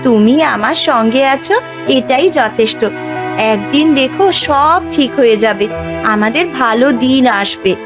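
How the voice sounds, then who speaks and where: A woman plays a long-suffering young village wife in a cartoon tale. Her voice is high and slow, gentle and plaintive, with soft music underneath. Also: lively intonation. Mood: patient, tender. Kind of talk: Bengali cartoon fairy-tale voice acting.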